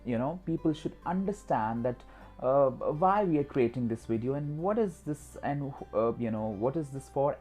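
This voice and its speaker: An Indian-accented man, a native Hindi speaker, hosts an instructional talk. His voice is soft-edged and mellow, with wide melodic swings. He speaks emphatically and repeats himself, over a clear music bed. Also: mid-range voice. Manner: repetitive, emphatic, with frequent "you know". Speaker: host of a skills channel.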